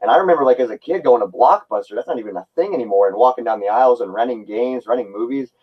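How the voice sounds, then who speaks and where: A man's voice: mid-range voice, very fast, breathy edge, highs cut off. A man in his twenties talking casually and nostalgically as a guest in a video-call discussion. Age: 20s. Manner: nostalgic, relaxed.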